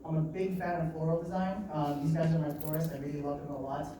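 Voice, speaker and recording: A man in his late twenties giving a live talk at a design meetup. He talks fast and a bit nervously, with lots of fillers and restarts. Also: a little high, little pitch movement. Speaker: guest speaker at a design meetup. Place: meeting room in an office. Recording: echoey room, distant mic, background noise.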